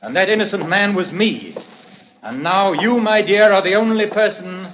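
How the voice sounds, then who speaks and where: A noisy old radio recording of a volatile stage actor in a 1940s suspense play. His voice is bright and clipped, swinging dramatically in pitch with theatrical intensity. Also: expressive, moderate pace. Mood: menacing. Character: weary, volatile stage actor who plays a menacing strangler.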